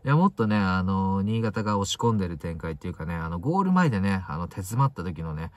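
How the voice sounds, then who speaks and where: A young man with a low voice chats casually about football as a solo vlogger. His intonation swings widely, pushing the start of each phrase and letting the end drop away. The sound is close and dry, recorded inside a parked car. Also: sing-song, fast talker, no echo.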